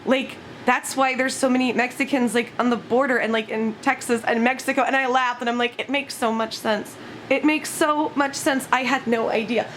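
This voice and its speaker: A woman's voice: on the high side, steady tone, moderate pace, fairly bright tone. A young woman vlogging from home, her voice scratchy from just waking up, rambling casually with lots of giggles. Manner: giggly, rambling, frequent laughs and swearing. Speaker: YouTuber. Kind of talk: casual vlog chat.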